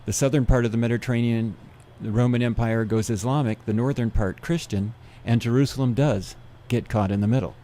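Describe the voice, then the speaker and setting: Mellow man explaining steadily. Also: mid-range voice, fairly even intonation, fairly quick, few pauses, slight background noise. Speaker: seminar speaker. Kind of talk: radio interview guest explaining prophecy.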